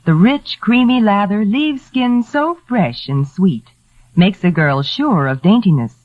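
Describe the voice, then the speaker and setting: Animated vintage radio saleswoman. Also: mid-range voice, unhurried, no room sound. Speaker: commercial announcer. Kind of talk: 1940s radio advertising pitch. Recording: dull, dry vintage radio recording.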